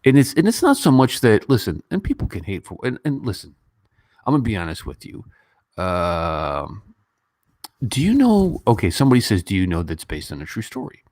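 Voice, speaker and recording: Male livestream host, low-pitched and informal, rambling conversational delivery, very dry close sound. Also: normal speed, dry recording. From Western country. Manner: informal, animated when mimicking others, rambling. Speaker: YouTube host. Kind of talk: casual livestream chat and commentary.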